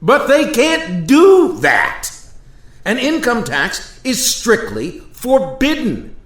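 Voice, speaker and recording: A man's voice: very high voice, huge pitch swings, takes it slowly, fairly bright tone, exaggerated voice. A man acting an indignant Thomas Jefferson in a radio play, speaking in an emphatic, formal, oratorical way. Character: indignant Thomas Jefferson, aghast at modern America. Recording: roomy, echoey voice recording.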